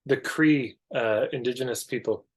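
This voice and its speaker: A man's voice: medium pitch, moderate pace, husky. A man with a Canadian accent talking as a podcast guest, explaining ideas in an emphatic, conversational way and acting out imagined dialogue to make points. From Alberta, Canada. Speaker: podcast guest, marketing coach.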